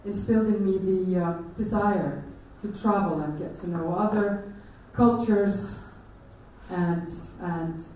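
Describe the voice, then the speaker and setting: A woman with an Icelandic accent gives a conference talk, pausing often and restarting her sentences. Her voice is mellow and dark. It rings through a large, echoey hall, picked up from a distance with faint noise behind. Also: medium pitch. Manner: hesitant, many fillers and restarts. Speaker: conference speaker. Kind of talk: presentation talk.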